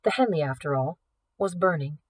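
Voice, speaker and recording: A woman reading a story aloud in an expressive, scripted narration style. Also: deep voice, moderate pace, dry recording. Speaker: audiobook reader, teacher.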